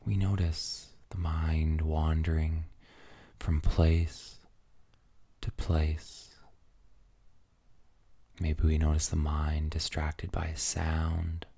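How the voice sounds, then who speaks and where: A man leading a guided meditation in a soft, soothing, breathy near-whisper, gently and with long pauses between phrases. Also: very deep voice, no room sound.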